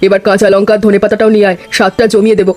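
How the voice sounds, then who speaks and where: A woman's voice: medium pitch, flat delivery, racing, darkish tone, natural voice. A woman voicing a kindly older village mother in a cartoon, speaking affectionately and giving practical instructions. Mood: caring, practical. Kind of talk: scripted cartoon dialogue.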